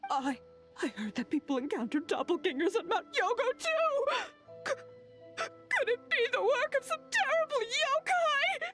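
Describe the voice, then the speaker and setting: Very high-pitched, frightened young townswoman. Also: very animated, unhurried, over music, full character voice. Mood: nervous. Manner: stammering, breathy hesitations. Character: frightened young townswoman, timid and easily shocked. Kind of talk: anime video game voice acting.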